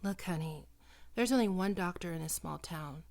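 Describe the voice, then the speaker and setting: A woman acting in an ASMR roleplay as a doting, possessive vampire posing as a small-town doctor, coaxing and teasing, often dropping into breathy whispers. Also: fairly low pitch, moderate pace, darkish tone. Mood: concerned, chiding. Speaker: doctor character in audio roleplay.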